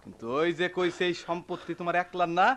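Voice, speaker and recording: A man's voice: high voice, completely flat delivery, fast, a bit bright. An actor playing a righteous, stubborn village man in a radio drama, speaking pointedly in a rural Bangladeshi Bengali accent. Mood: insistent.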